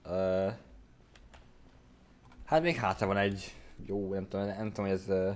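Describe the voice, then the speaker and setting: Low-voiced man chatting on stream. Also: fairly even intonation, moderate pace. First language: Hungarian. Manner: hesitant, thinking aloud. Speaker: game streamer. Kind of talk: casual livestream chat.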